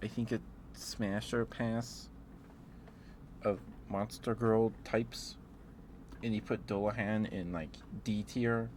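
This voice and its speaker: A man's voice: on the low side, steady tone, slow, long pauses, darkish tone, slight background noise. A man streaming ASMR, chatting casually and playfully to his live viewers in a rambling way.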